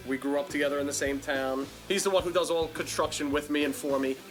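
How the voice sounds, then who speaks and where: A man's voice: fairly high pitch, fairly even intonation, fast. A man talking bluntly in a reality show interview as a tattoo shop owner introducing his crew. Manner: blunt, swearing. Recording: music behind the voice. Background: guitar music.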